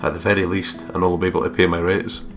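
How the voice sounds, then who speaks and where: A man's voice: low voice, little pitch movement, quick pace. A man hosting a let's play, reading a visual novel aloud with jokey, sweary asides. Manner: jokey, swears freely, breaks off into ad-libs. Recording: game music behind the voice.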